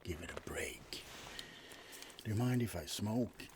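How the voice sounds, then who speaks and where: A man playing a suave, teasing vampire in a soft, often whispered bedside ASMR roleplay, murmuring with dramatic pauses. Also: fairly low pitch, lively intonation, noisy background. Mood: wry, amused.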